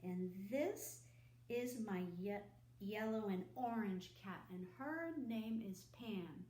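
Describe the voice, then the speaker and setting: A woman's voice: medium pitch, animated, slow pace, warm tone. A woman leading a children's storytime, speaking simply and expressively as if to small children, in an indoor room. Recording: roomy echo.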